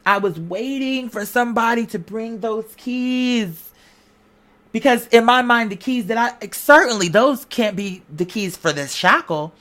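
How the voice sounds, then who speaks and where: A woman's voice: fairly high pitch, measured pace, a bit bright. A woman vlogger delivering an informal motivational monologue, conversational and slangy, with emphatic, preacher-like repetition. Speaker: online video creator giving a pep talk.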